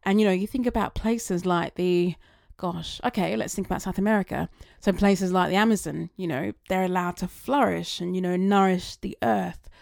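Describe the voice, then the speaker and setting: A woman talking through her thoughts in a chatty, rambling monologue that wanders onto tangents, her voice getting slightly raspy. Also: on the low side, normal speed, darkish tone, no echo, clean studio sound. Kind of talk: casual reflective monologue.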